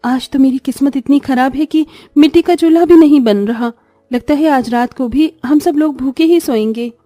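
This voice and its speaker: A woman plays a humble young daughter-in-law in a cartoon tale. Her voice is high and mellow, her delivery earnest and expressive. Music plays softly behind. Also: normal speed, no echo, clean studio sound, close to an everyday voice. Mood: dejected. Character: poor, good-hearted young Indian daughter-in-law, humble. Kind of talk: Hindi cartoon storytelling.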